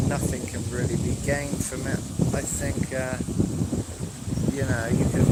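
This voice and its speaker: An English man chatting casually during a live stream on the beach, with lots of fillers and pauses. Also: mid-range voice. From England. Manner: relaxed, hesitant, many fillers. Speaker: livestreamer. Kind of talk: casual live stream chat answering viewers. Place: outdoor beach, windy. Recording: loud wind and surf noise outdoors.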